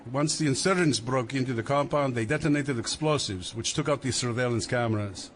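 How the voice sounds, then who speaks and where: An actor playing a grave Saudi security official at an oil complex, formal, measured and courteous. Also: male voice, mid-range voice, slight background noise, natural voice. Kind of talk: audio drama acting. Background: music.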